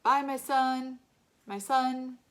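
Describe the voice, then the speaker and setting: A woman narrator takes the part of an easygoing father in a children's novel. He speaks fondly and with friendly affection. The voice remains natural, only a little lifted in pitch. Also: steady tone, slow pace. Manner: friendly, fond. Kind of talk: children's audiobook-style read-aloud.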